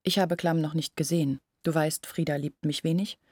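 A brooding young village woman in a literary radio play. Her voice sits rather low and is husky, recorded close and dry. She confides earnestly and anxiously, as if to one trusted listener. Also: steady tone, moderate pace, darkish tone, dry recording. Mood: confiding. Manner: intimate, brooding, earnest explaining. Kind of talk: literary radio drama acting.

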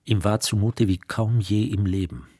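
A man narrating a literary radio play from a script in a measured, serious manner. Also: lowish voice, steady tone, mellow, breathy edge, dry recording, clean studio sound.

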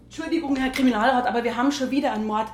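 An actress playing an urgent, brisk police assistant in a radio play. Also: female voice, high voice, fairly even intonation, reverberant, a little hiss or hum, lightly acted. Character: urgent female police assistant bringing news. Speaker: actor in a radio crime play. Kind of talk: radio drama acting.

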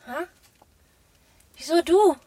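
A woman's voice: very high-pitched, slow pace, dark tone. An actress playing a defensive, dreamy young postwoman in a naturalistic radio drama. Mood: indignant. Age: young adult.

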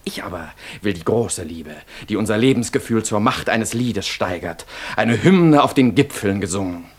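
An actor playing a fervent, proud young romantic dreamer in a German radio play, declamatory and lyrical. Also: male voice, on the low side, animated, moderate pace, breathy edge. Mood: lofty, fervent.

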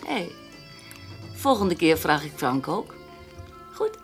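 A self-assured woman in a radio play, her voice slightly low. She is direct and teasing, answering in short, frank phrases. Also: big pitch swings, slow pace, faint music behind, full character voice. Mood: playful, teasing. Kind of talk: naturalistic radio drama dialogue.

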